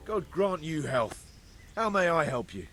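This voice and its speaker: An actor playing a nervous peasant in a video game who refuses to get involved and points elsewhere. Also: male voice, a little high, sing-song, a little slow, loud music behind the voice. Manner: jittery, stonewalling. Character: nervous evasive peasant man.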